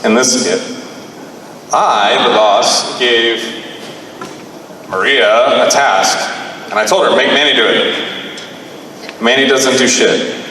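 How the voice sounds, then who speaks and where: A man's voice: mid-range voice, slow, long pauses, sharp tone. A man hosting and directing a live improv comedy skit, joking loosely with lots of swearing. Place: bar stage with live audience. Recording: echoey hall, distant mic, noise.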